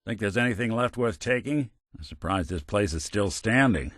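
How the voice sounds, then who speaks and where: An actor playing a world-weary, middle-aged robot private detective in a video game, with a wry, hard-boiled film-noir manner. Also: male voice, fairly low pitch, lively intonation, normal speed, a bit bright, dry recording, clean studio sound. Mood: dry, observant.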